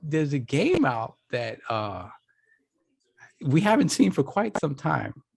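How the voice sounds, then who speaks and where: A tired man with a gravelly, worn-out voice, co-hosting a casual gaming podcast and thinking aloud with many restarts. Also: on the high side, sing-song, takes it slowly, a little mellow. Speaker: podcast co-host.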